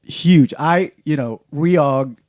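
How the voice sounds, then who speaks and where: Halting Indonesian man, casual podcast host. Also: a little high, a little mellow, dry recording, clean studio sound. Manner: halting, many restarts and fillers, laughs often. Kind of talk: casual chat.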